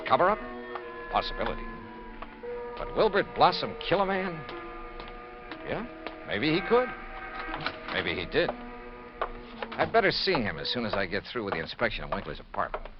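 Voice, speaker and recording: A shrewd American radio detective, brisk and dryly probing. His delivery is clipped and wry, with widely swinging intonation. It sounds like a dull vintage 1950s broadcast with music underneath. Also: mid-range voice, wildly swooping pitch. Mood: musing. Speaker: lead actor and narrator of a detective radio series. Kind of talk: 1950s radio detective acting with first-person narration.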